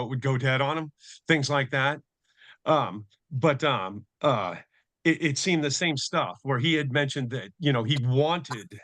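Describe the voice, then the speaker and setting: A man's voice: medium pitch, a bit bright, dry recording. A man speaking casually and somewhat hesitantly as a participant in a group technical call, circling around his point. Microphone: conference call.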